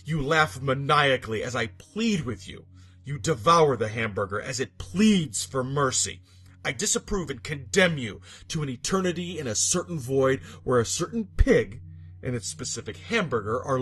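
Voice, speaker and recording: Guy doing dramatic story narration, really theatrical, pitch all over, music behind him. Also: a bit bright, breathy edge. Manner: theatrical, emphatic delivery with dramatic drops and outbursts. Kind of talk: dramatic scripted narration of absurdist horror fiction. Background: background music.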